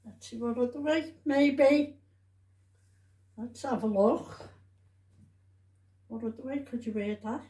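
A woman talks in an unhurried, mellow voice. She rambles chattily as if to a camera at home, circling back over her words and adding tag questions. The room gives her voice a noticeable echo. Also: a little high. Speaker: vlogger showing shopping haul. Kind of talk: casual rambling chat to camera. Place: indoors at home. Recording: roomy, echoey home recording.

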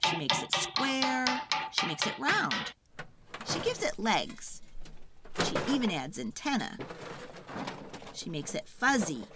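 A woman narrating a children's storybook in a dramatic, expressive and deliberate way. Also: on the high side, heavy background noise. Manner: expressive, deliberate, acts out sounds and feelings. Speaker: children's book read-aloud narrator.

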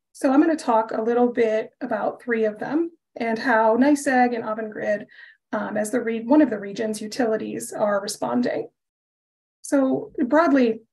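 A woman presenting slides on a panel in a clear, organized way. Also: a little high, a little mellow, rough recording. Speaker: panelist, utility representative.

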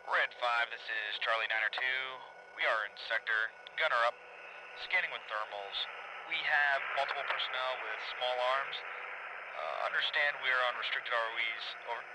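A man's voice: medium pitch, normal speed. A man playing an alert soldier, giving clipped patrol reports over a military radio. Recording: noisy military radio transmission.

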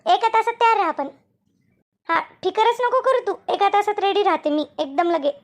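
A chatty village woman from an animated comedy, played by a woman. Her voice is extremely high, almost childlike. She speaks with a rural Maharashtrian Marathi accent in a folksy, repetitive way. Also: moderate pace. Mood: agreeable. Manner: colloquial, repetitive, rustic village talk. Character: chatty village women in a comic cartoon.

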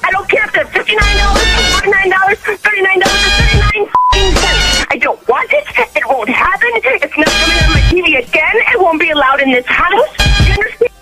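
A woman's voice: extremely high pitch, very animated, brisk, hardly any pauses. A woman on a phone call, furious and cursing at repeated callers. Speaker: prank call victim. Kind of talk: angry phone conversation. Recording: phone call under loud music.

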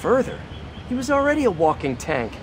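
An actor playing a quippy young American superhero who mutters wryly to himself in a video game. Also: male voice, extremely high pitch, very animated, a little fast. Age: young adult. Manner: wry self-talk. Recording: clear city street noise behind.

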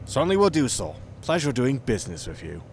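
A man's voice: medium pitch, wildly swooping pitch, fairly quick, music in the background. A man playing an exasperated, sarcastic spaceship captain in a sci-fi sitcom audio drama, with a weary, dry, scolding manner.

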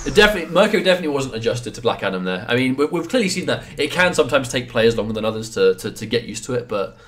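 A British man with a head cold and a congested voice, doing quick analytical commentary on a fighting game. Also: mid-range voice, big pitch swings, fairly bright tone. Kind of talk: esports commentary.

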